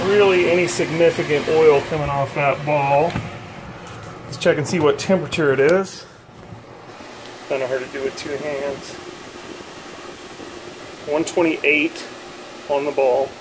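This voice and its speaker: A man's voice: fairly high pitch, takes it slowly, pauses often, background noise. Man filming a DIY demo in his kitchen. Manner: relaxed, thinking aloud with frequent ums and uhs. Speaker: bowling hobbyist vlogger. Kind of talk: casual DIY demonstration. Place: home kitchen, indoors. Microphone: phone held at arm's length.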